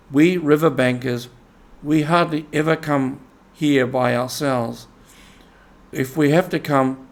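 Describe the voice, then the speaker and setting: A man voicing a kindly, experienced water rat in a storybook, with a hearty and earnest manner. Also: mid-range voice. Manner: soothing, hearty, earnestly advising.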